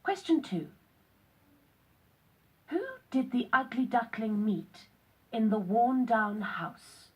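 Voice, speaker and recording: A woman giving scripted narration on a children's read-along, with a deliberate, instructional storyteller delivery. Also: a little high, lively intonation, husky, highs cut off.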